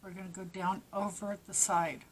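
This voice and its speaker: A woman's voice: medium pitch, flat delivery, moderate pace, rough recording. A woman with a stuffy nose and a fading voice, giving plain, practical step-by-step crochet instructions. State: stuffy nose, losing her voice. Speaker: crochet teacher. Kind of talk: step-by-step craft tutorial.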